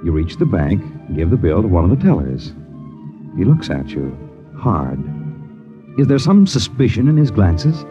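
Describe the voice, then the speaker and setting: An actor playing an ominous, taunting storyteller narrating a 1950s radio suspense drama in a dramatic, deliberate manner. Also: male voice, low-pitched, very animated. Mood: ominous, mocking. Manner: dramatic, deliberate, addresses character as you. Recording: dull vintage radio recording, music behind. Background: dramatic music.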